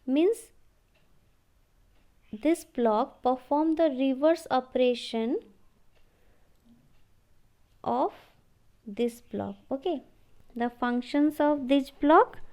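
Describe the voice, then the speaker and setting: A woman giving an explanatory slide lecture, halting and repeating phrases as she goes. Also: sits high, a little mellow. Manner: halting, repeats phrases, often says okay.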